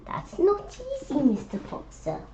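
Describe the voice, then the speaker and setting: A woman's voice: high voice, wildly swooping pitch, a little slow, creaky, heavy background noise, exaggerated voice. Woman as a meek, flustered storybook creature. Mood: complaining. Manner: subdued, apologetic pleading.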